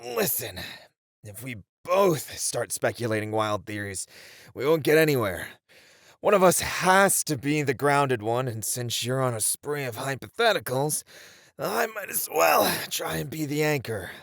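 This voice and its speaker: Man acting flirty ninja, very lively pitch. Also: measured pace, gravelly, no echo, studio quality, full character voice. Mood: reasoning, calm. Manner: teasing, reassuring, animated. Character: flirty, confident male ninja, playful and unflappable. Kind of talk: ASMR roleplay audio drama acting.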